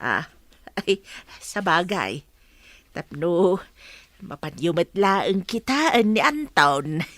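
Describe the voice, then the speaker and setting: A woman's voice: on the low side, very animated, slow pace, long pauses, fairly bright tone, no room sound, exaggerated voice. A woman playing a chatty, gossipy but deferential household helper in a radio comedy drama.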